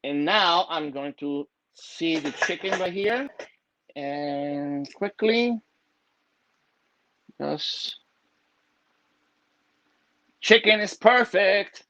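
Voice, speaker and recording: A man's voice: on the high side, drawn-out words, long pauses, pushed and bright, no echo. A man raised in Puerto Rico, cooking in his home kitchen during a live video-call stream, chatting with many fillers and laughing often. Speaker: cooking show guest chef.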